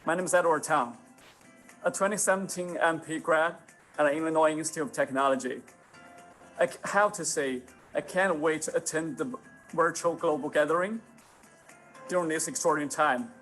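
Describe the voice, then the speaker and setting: Young man, higher voice. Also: moderate pace, long pauses, fairly bright tone, soft background music. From China. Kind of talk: recorded welcome message.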